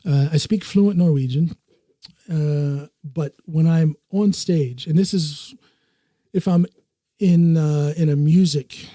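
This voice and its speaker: Easygoing man, dark mellow voice. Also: on the high side, dry recording, clean studio sound. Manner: easygoing, laughs often, halting restarts. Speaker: podcast host. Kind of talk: casual podcast chat.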